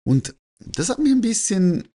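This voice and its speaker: A man with an Austrian-Swiss German accent talking informally and presenting survey results, halting and correcting himself as he thinks aloud. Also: sits high, big pitch swings, mellow. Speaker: photography teacher and newsletter host.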